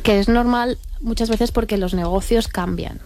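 A woman's voice: on the high side, moderate pace, darkish tone, slight background noise. A woman explaining things informally as an interview guest, often adding tag questions. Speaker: interview guest, heritage expert and author. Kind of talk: conversational explanation.

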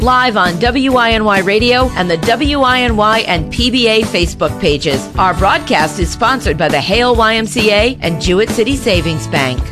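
A woman announcing an upbeat local radio ad in a cheerful promotional manner. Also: mid-range voice. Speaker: commercial announcer.

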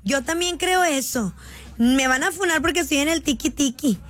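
A young Mexican woman streaming, chatting in an animated, joking, rambling way. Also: sits high, normal speed. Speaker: streamer reading viewer voice notes. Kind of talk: casual livestream chat.

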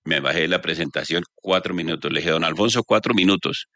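A man, an animated comedian telling anecdotes and acting out the quotes. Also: low voice, fast, no echo. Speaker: comedian guest. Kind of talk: storytelling chat.

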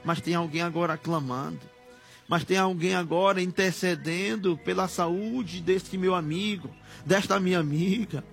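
High, impassioned Brazilian male preacher in prayer. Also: fairly even intonation, unhurried, vocal fry. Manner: pleading, emotional, repeatedly swelling into shouted cries. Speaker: preacher leading prayer on a broadcast. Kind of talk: fervent extemporaneous Pentecostal prayer. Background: background music.

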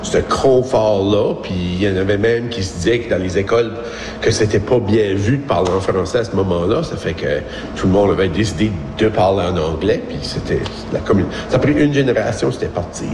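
Lowish-voiced Acadian man in an echoey hall. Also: music in the background. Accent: Acadian French of Prince Edward Island. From Evangeline region, Prince Edward Island, Canada. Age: 50s. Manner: reflective, halting, rephrases as he goes. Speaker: school board employee, former principal. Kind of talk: informal speech at a community discussion. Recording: echoey hall, faint background noise.

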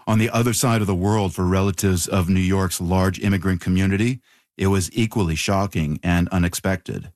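A man with a deep, low voice reads scripted documentary narration as the host. The recording is close and dry, with very little room sound. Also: fairly even intonation, normal speed, darkish tone, no echo.

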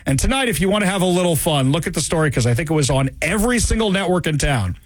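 A male talk radio host with a lively, swooping delivery and a somewhat high voice. He talks casually and wryly into a studio mic. The sound is dry and close. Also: quick pace, no room sound. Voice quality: high-pitched laugh. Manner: animated, chatty, wry asides. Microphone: studio microphone.

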